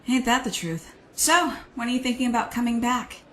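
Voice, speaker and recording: A woman's voice: on the high side, animated, a little fast, natural voice. A woman playing a blunt, loyal, sassy team leader in an audio drama, familiar in manner. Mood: frank, friendly. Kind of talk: fan audio drama acting. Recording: echoey room, faint noise.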